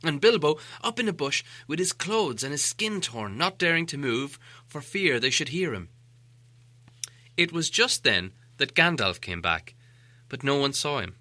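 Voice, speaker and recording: A man narrating a storybook as an audiobook reader, in an expressive, dramatic and theatrical scripted style. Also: on the high side, measured pace, no echo.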